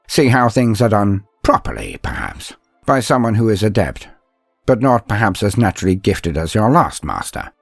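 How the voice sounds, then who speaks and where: A man voicing a stern, proud old inquisitor mentor in an audio story, speaking in a measured, commanding, instructive way. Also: fairly low pitch, very animated, vocal fry, dry recording, soft background music, heavily acted. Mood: approving, exacting.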